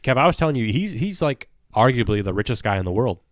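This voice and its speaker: A man's voice: mid-range voice, very animated, fast, no echo, clean studio sound. A man co-hosting a podcast in crude casual banter, profane and joking, often trailing off mid-sentence. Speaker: podcast co-host.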